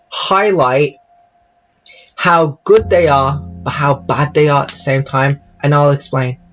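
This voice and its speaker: A man giving casual, rambling game commentary like an audio log, halting and often circling back to repeat his phrases. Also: a little high, dull audio. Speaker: YouTuber reviewing games.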